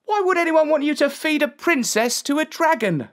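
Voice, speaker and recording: A woman's voice: sits high, expressive, moderate pace, voice pushed up. A woman voicing a plucky young shepherd boy in a storybook, polite and earnest. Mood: shocked.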